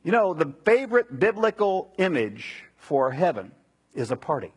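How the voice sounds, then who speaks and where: Expressive male preacher, slightly husky, unhurried. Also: on the high side. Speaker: pastor preaching. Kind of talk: sermon, expository teaching.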